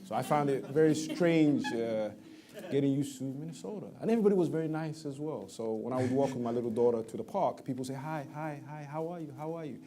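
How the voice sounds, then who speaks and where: A man's voice: mid-range voice, fast, dark tone. A man in his thirties, born in Somalia and raised in East London, with an East London accent, chatting as a city council guest in a theater interview. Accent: East London English with some American. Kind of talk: casual interview talk. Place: small theater venue with audience.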